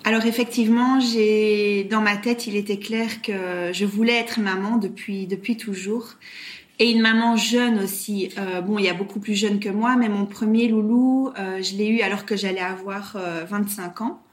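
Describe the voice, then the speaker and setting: A woman in her thirties from Brussels with a Belgian French accent, talking as an interview guest, often pausing on "euh". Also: medium pitch, fairly even intonation. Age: 30s. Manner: hesitant, many "euh" fillers. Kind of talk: casual interview talk.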